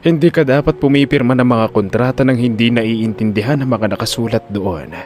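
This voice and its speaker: A man voicing a kind, protective wealthy older gentleman in an audio drama, measured and reassuring. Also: medium pitch, a little hiss or hum, pitched below the performer's own voice, natural voice. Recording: music under the voice.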